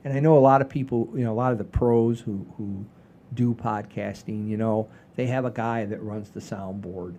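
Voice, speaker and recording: An older man hosting a casual podcast, rambling in a folksy, halting way with repeats and the odd mild swear. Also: fairly low pitch, fairly even intonation, mellow. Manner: folksy, mildly profane, halting and repetitive. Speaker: podcast host.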